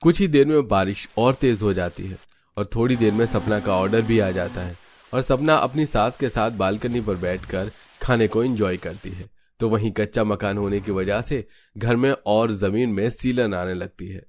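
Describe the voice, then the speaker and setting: A man's voice: on the low side, normal speed, darkish tone, a little hiss or hum. A man reading scripted narration for a family-drama cartoon story.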